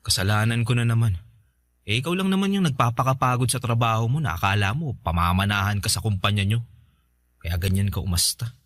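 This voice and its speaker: An actor playing a hurt, resentful young boyfriend, sarcastic and accusing, in an emotional radio drama. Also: male voice, lowish voice, expressive, a little fast, fairly bright tone, dry recording. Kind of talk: Tagalog radio drama acting. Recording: music bed behind the voice.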